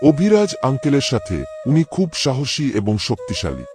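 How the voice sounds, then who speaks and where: A man's voice: mid-range voice, wildly swooping pitch, normal speed. A man playing a genial, respectful father and grandfather in a cartoon family drama, courteous and earnest. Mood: reassuring. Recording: music under the voice.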